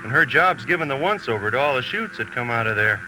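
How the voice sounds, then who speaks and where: A man's voice: medium pitch, animated, fast talker, natural voice. A man playing a cheerful, confident young paratrooper in a 1940s radio drama. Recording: noisy old radio recording, engine-like ambience.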